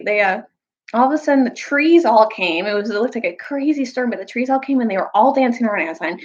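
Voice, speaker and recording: A woman reading and retelling a story aloud in an expressive storytime style, like a teacher with students. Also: fairly high pitch, lo-fi sound. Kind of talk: storytime read-aloud with recap.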